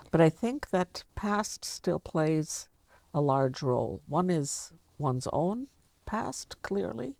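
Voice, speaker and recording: An older woman with a Canadian accent, talking reflectively and deliberately as an interviewer in a sit-down conversation. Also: sits low, creaky, mellow, no room sound. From Canada, of Latvian descent. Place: furnished indoor lounge studio.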